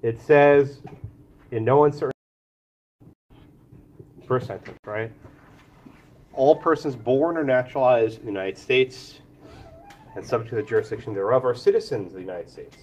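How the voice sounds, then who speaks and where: A man's voice: medium pitch, a little mellow, highs cut off, slight background noise. A man teaching a law class, calling on students by name and pressing them with questions, a little congested today. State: slightly congested, lower voice than usual. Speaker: law professor. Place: law school lecture room.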